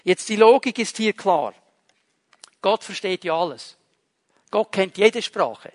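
A man's voice: high-pitched, lively intonation, slow, no echo, studio quality. A man teaching a Bible passage, repeating key points and speaking straight to the listener. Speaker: preacher.

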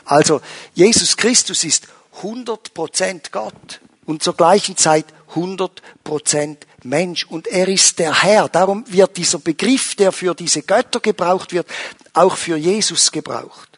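A man preaching a sermon to his congregation, rising in pitch and volume when he stresses a point. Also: unhurried, husky. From Switzerland. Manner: animated, rises in pitch and volume for emphasis. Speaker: pastor.

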